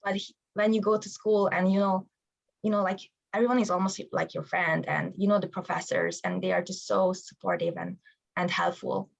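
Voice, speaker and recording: Young Hungarian woman, gravelly voice. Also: medium pitch, expressive, moderate pace. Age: young adult. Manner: hesitant, many fillers, laughs easily. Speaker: student-athlete guest. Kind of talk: interview.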